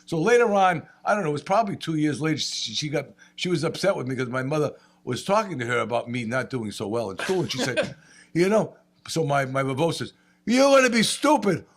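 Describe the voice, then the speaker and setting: A man, speaking on a panel and telling family stories with animated humor. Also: on the high side, fairly quick. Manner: animated storyteller, laughs at own jokes. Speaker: state representative. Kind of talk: panel remarks with anecdotes.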